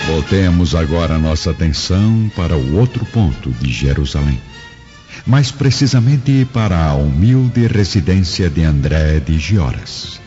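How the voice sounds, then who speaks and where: A man's voice: a bass voice, animated, unhurried, lo-fi sound, music in the background. A man narrating a radio drama from a script in a solemn, theatrical way.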